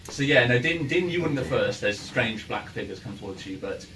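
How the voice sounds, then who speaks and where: A man acting as game master, improvising tabletop narration in a halting, hesitant way, recorded in a noisy room. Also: medium pitch, lively intonation, fairly bright tone, roomy sound. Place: in-person game room with ambient sound. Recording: noisy room, distant microphone.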